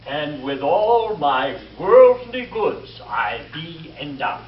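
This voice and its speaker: A man's voice: on the high side, big pitch swings, slow pace, very noisy. A man playing a fussy, flustered justice of the peace in an old-time radio comedy. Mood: fussy, correcting. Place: live stage show before an audience. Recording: echoey, muffled, distant live stage with audience.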